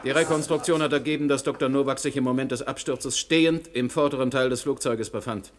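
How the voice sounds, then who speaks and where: An actor playing a stern, dogged presiding judge in a radio play, questioning formally and with authority. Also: male voice, mid-range voice, fairly even intonation, fast talker, natural voice. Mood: insistent. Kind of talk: German radio play acting. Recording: older radio recording with background noise.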